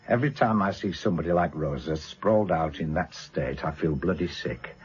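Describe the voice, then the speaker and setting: Gruff sardonic British inspector, swooping delivery. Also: male voice, low-pitched, muffled recording. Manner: blunt, sardonic questioning. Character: gruff, world-weary, sardonic male police inspector. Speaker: police inspector. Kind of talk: radio drama acting.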